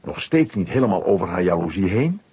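Husky man narrating, very lively intonation. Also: low voice, brisk, muffled recording. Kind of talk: scripted storybook narration for children.

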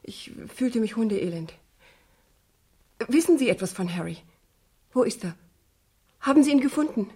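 A woman playing an anxious, polite young office worker in a radio crime drama, with a husky, breathy and fragile voice. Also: fairly high pitch, animated, moderate pace, long pauses, dark tone. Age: young adult.

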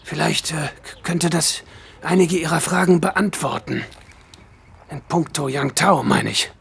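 An actor playing a courteous, sinister, wealthy film aesthete in a radio drama, speaking in a measured, formal way. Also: male voice, a little high, gravelly, fairly bright tone, husky, full character voice. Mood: hesitant, secretive.

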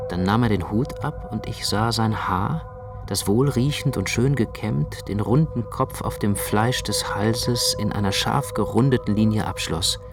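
An actor playing a detached, reflective first-person narrator in a literary radio play, measured and precise in his telling. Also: lowish voice, vocal fry. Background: music.

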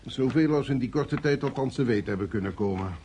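A man's voice: mid-range voice, a little fast, mellow, a little hiss or hum, close to an everyday voice. An actor playing a male security service chief in a radio drama, questioning in a brusque, authoritative way. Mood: brisk, uneasy.

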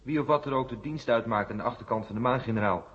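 A man's voice: mid-range voice, fast, lightly acted. A man acting a direct, probing press reporter in a Dutch radio play. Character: probing, brisk press reporter questioning military chiefs. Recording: dull, muffled 1970 radio recording.

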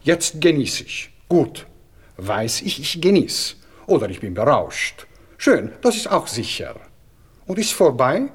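An aristocratic Viennese cavalry officer for radio drama. His voice is rough-edged and swoops up and down as he rambles. He is courteous, hesitant and world-weary, forever philosophizing in an affected way. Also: on the high side, very animated, slow pace, heavily acted. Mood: blasé, philosophizing. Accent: Viennese Austrian. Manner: hesitant, polite, rambling, blasé. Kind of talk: radio play acting.

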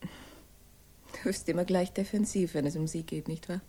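Husky, low-pitched probing young woman. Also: fairly even intonation, measured pace, mellow. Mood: probing, hurt. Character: probing, frank young woman, the detective's friend. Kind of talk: 1960s German radio crime drama acting.

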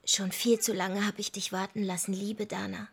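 An actress playing a brooding, defiant daughter who pines for her twin brother, in intimate, husky radio drama acting. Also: medium pitch, flat delivery, moderate pace, fairly bright tone, slight background noise. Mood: needling, impatient. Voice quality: husky, breathy.

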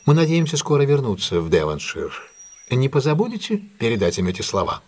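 An actor playing a shrewd, self-assured detective in an audio drama, with a husky voice and a brisk, imperious, theatrical manner. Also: male voice, medium pitch, sing-song, a little mellow. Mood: assured, courteous. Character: commanding, shrewd, self-assured male detective plotting a trap.

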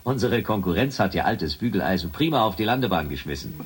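An actor playing a breezy, pushy shuttle commander giving a slangy pep talk in a radio drama. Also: male voice, low-pitched, sing-song, fast talker. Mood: breezy, boastful. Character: jovial, pushy male shuttle mission commander. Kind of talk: radio drama, radio address to crew.

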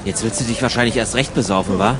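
A man's voice: fairly low pitch, fast talker, crowd noise. A man in casual banter, talking in a dry, grumbling, argumentative manner. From Germany. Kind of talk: casual banter in an audio collage.

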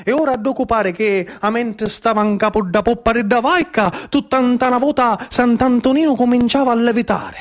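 A high-voiced man with a Sicilian accent performs a stage monologue in the manner of an oral storyteller. His delivery is rhythmic and incantatory, built on repetition and dramatic pauses. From Sicily, Trapani area. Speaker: actor and storyteller.

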